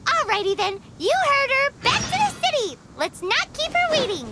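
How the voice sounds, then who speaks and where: A woman voicing a tiny, bratty and bubbly floating female companion creature in a video game, talking in an excitable, sassy way. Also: extremely high pitch, moderate pace, loud music behind the voice, heavily acted. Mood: cheery.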